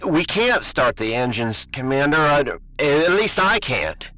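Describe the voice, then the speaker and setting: Nervous american space crewman, old radio play, highish bright voice, hesitant and stammery. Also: sing-song, measured pace, heavily acted, poor audio. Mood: flustered. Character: nervous, flustered male engine-room crewman. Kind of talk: 1950s radio drama acting.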